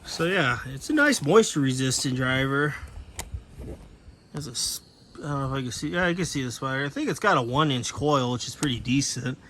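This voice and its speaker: A man's voice: mid-range voice, animated, a little fast, fairly bright tone, a little hiss or hum. A man giving a casual, unscripted product overview as a hobbyist, rambling informally and correcting himself as he goes. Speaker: hobbyist reviewer showing audio gear.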